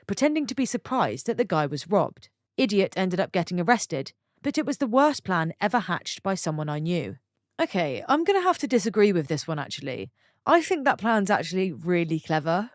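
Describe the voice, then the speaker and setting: Lively low-voiced woman storyteller. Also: normal speed, dry recording, clean studio sound. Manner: expressive, chatty asides to viewers. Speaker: story channel narrator. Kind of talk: reading online stories aloud with own comments.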